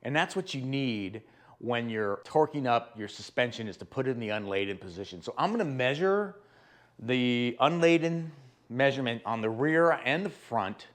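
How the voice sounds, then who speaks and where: A man with a hoarse, sore-throat voice, casually explaining car repair steps as host of his own channel. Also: mid-range voice, expressive, normal speed. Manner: chatty, rambling, explains step by step. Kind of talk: casual DIY car tutorial.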